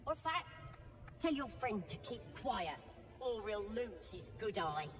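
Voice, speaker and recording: A zealous goblin priestess for a fantasy video game. She speaks in a sly, fervent, taunting way. Her voice rings with long reverb, and music plays clearly behind it. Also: medium pitch, sing-song, slow. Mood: menacing zeal.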